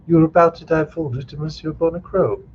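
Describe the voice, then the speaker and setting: A man's voice: on the high side, quick pace, muffled recording, a little hiss or hum, pitched above the performer's own voice, lightly acted. A man voicing a bold, gallant young swordsman, speaking in a confident, courtly, declamatory way.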